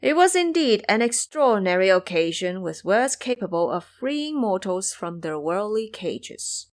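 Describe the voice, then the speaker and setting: A woman's voice: mid-range voice, big pitch swings, a little slow. A woman narrating a fantasy audio drama in a scripted storybook style.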